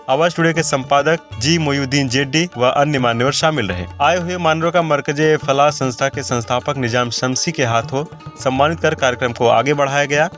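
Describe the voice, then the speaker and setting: A man reading a scripted news report in an even, formal manner. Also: mid-range voice. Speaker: news narrator.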